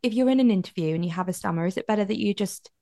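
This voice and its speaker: A woman's voice: medium pitch, racing, warm tone, no room sound, studio quality. A woman hosting a podcast, asking her guest open questions and following up on what he says. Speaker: podcast host. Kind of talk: interviewing a guest.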